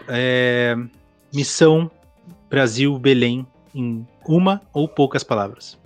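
Host: easygoing interviewer, mid-pitched, dry close audio, soft music bed. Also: slow, no echo. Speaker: podcast host. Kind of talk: casual interview questions.

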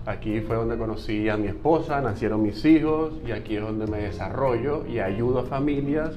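Venezuelan guy chatting on a podcast, relaxed and friendly, faint music behind. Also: mid-range voice, normal speed, dull audio. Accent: Venezuelan Spanish. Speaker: podcast guest, realtor. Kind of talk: casual conversation.

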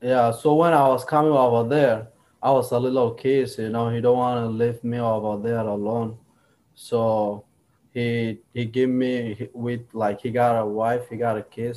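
A young Rohingya man with Rohingya as his first language, answering interview questions in simple, halting English with many restarts. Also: medium pitch, flat delivery, a little mellow, low-quality recording. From Myanmar. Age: young adult.

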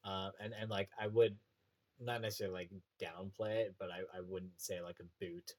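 A man's voice: low-pitched, flat delivery, normal speed. A young Canadian man with a prairie accent, a teacher chatting casually on a podcast, full of fillers and restarts. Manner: rambling, many fillers and restarts.

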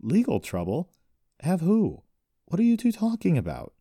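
A man's voice: mid-range voice, sing-song, brisk, mellow, no echo, clean studio sound, pitched above the performer's own voice. A man playing an indignant, wounded young man in an audiobook story, incredulous and defensive. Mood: bewildered.

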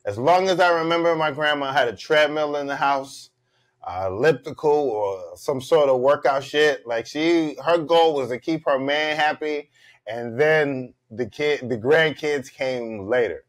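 Casting note: male comedian host, slightly high-pitched voice, relaxed slangy banter. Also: unhurried. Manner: loose, joking, slangy delivery. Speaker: podcast host. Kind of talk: casual comedic chat.

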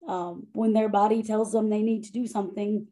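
A woman with a loud voice who talks through her teaching practice in a conversational interview, often pausing, repeating words and checking in with "right?". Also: medium pitch, fairly even intonation. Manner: thoughtful, self-correcting, frequent "right?" and "um". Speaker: faculty member and monograph author.

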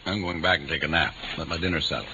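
An actor playing a dismissive but indulgent American father in old radio drama style, folksy and gently firm. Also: rumbling low, fast, full character voice. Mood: dismissive, amused. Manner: folksy, patronizing, soothing. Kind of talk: 1940s radio drama acting. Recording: dull, noisy old radio recording.

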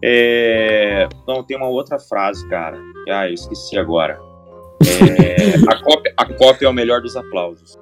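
A man's voice: medium pitch, lively intonation, normal speed, music in the background. Hesitant mumbling photographer man. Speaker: photographer guest. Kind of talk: casual self-introduction.

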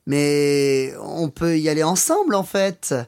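A man's voice: a little high, unhurried, gravelly, darkish tone, smooth. A man playing a friendly local Frenchman giving helpful directions in a scripted language-course dialogue.

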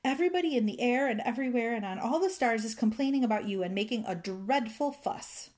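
An indignant little male fairy from a storybook, acted out by a woman reader. The voice is high and emphatic, scolding and bossing in exclamations. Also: big pitch swings, normal speed, darkish tone. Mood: annoyed. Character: indignant, bossy young male fairy with golden wings. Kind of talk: children's storybook character voice.

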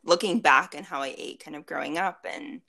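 Woman in her late 20s, low gravelly voice, chatty guest, lots of ums and little laughs. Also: brisk, bright, forceful tone. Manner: chatty, laughs often, many fillers and restarts. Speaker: interview guest. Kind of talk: casual video chat interview.

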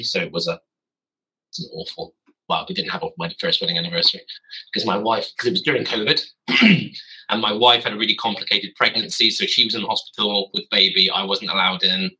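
Guy, 30s, podcast guest on a call from his garden office; husky, forceful tone, meandering and self-correcting. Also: fairly low pitch, poor audio. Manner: rambling, self-correcting, wry. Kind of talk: casual storytelling.

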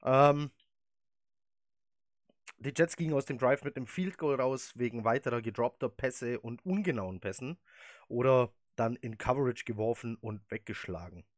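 A man's voice: mid-range voice, moderate pace, no room sound, clean studio sound. A man in his thirties hosting a casual football podcast, chatty and opinionated. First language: German. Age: 30s. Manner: chatty, opinionated, laughs easily. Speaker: podcast host.

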